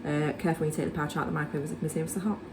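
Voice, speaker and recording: A woman's voice: low voice, fairly even intonation, rapid-fire, distant mic. Chatty Yorkshire woman in an echoey kitchen. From Yorkshire, England. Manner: chatty, rambling, with many fillers. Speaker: vlogger. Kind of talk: casual chat to camera. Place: home kitchen, indoors. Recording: echoey room with background noise.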